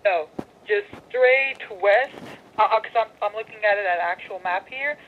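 A woman's voice: mid-range voice, normal speed. A woman on a speakerphone call patiently giving directions, searching for words as she explains. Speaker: university phone operator giving directions. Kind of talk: unscripted phone directions. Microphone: telephone heard over speakerphone. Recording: tinny noisy phone call through speakerphone.

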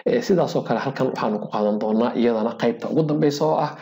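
A man teaching a hotel management program over a screen recording, speaking haltingly with many filler sounds and English technical terms mixed in. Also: mid-range voice, warm tone, highs cut off. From Somalia. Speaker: software instructor. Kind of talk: screen-recorded software tutorial.

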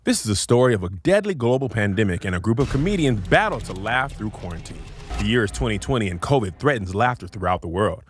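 A man's voice: lowish voice, big pitch swings, fast talker, soft background music. A man reading a movie-trailer script with a dramatic announcer delivery, on a studio microphone. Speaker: comedian contestant. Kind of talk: read movie-trailer voice-over. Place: podcast studio. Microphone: studio microphone with headset.

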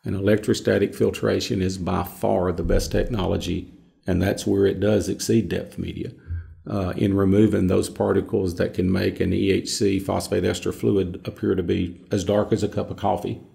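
A gravel-edged, mellow man in middle age speaks from deep in his range. He explains technical matters in a panel discussion, stressing points by repeating words. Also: flat delivery, moderate pace. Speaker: filtration subject matter expert. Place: small conference room, indoors.

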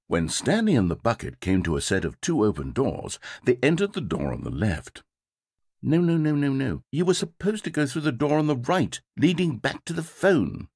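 An actor playing a vain, fussy game narrator obsessed with comedic timing, with a slightly breathy voice. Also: male voice, medium pitch, darkish tone, no echo. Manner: theatrical, fussy, swings between pride and self-pity. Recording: dry close studio sound.

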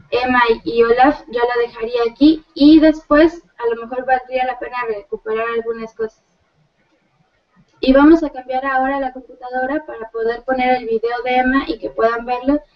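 A young woman with a high voice walks a seminar through her reading, pausing often and restarting her phrases. She sits on a living-room sofa, heard from a distance through a webcam, and the sound is dull and muffled. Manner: thoughtful, hesitant, many fillers. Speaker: student presenter. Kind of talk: seminar presentation. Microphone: far laptop webcam. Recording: distant, muffled video call audio.

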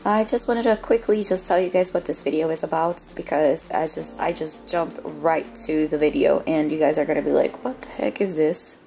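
A young woman with a raspy voice, a bit under the weather, chatting casually to viewers about her routine in a small bathroom. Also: sits low, normal speed, few pauses, mellow. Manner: chatty, self-mocking, talks to viewers directly. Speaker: beauty vlogger. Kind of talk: casual chat. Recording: music playing clearly underneath.

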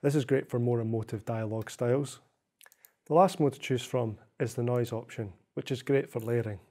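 A young man narrating a software tutorial in a measured, explanatory way. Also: medium pitch. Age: young adult. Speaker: software demonstrator.

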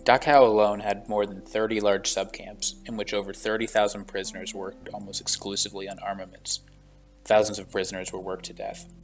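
A man reading a measured, solemn voice-over narration for a travel vlog walking tour. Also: lowish voice, flat delivery, over music.

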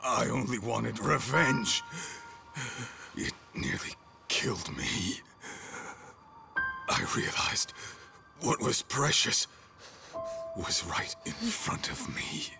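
An actor playing a tough, protective, streetwise brawler in a game drama, gruff and earnest. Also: male voice, mid-range voice, big pitch swings, slow pace, pauses often, music in the background, exaggerated voice. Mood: pained, pleading. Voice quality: gravelly. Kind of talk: dramatic video game dub acting.

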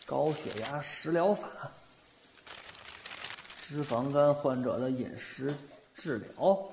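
A man voice-acting an impulsive, soft-hearted young bookstore boss in a Chinese audio drama, exclaiming and animated. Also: medium pitch, takes it slowly, creaky, background noise. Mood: puzzled. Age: young adult. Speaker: voice actor.

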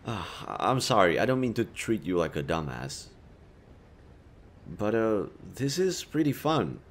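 A man acts out a kind young male stranger in an intimate roleplay audio drama. His voice sits fairly low and moves around in pitch a lot. He talks casually and a little awkwardly, teasing as he helps. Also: very animated, unhurried, exaggerated voice. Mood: sheepish, pleased. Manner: casual, playfully teasing, mildly awkward, swearing.

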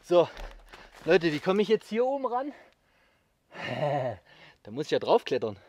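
A man's voice: on the high side, big pitch swings, a little slow, a little mellow, slight background noise. A man in his thirties chatting casually to viewers while building outdoors in a misty forest, picked up by an action camera, puffing now and then from the work. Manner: chatty, addresses viewers directly, upbeat. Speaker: survival vlogger. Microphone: action camera mic at a distance.

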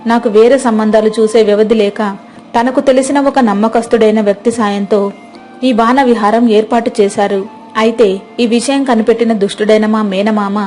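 A woman's voice: a little high, a little mellow, close to an everyday voice. Woman voicing a landlord's earnest young daughter. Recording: music playing behind.

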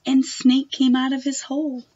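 A young woman reading a picture book aloud to children in a gentle, expressive manner. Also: high-pitched, normal speed, dry recording, dull audio. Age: young adult. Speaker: storytime reader. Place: wood-panelled room at home.

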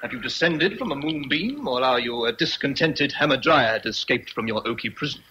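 An actor playing a sardonic, commanding master of a great house, theatrical in a radio drama. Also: male voice, mid-range voice, animated, normal speed, bright, forceful tone, slight background noise, rough recording. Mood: mocking.

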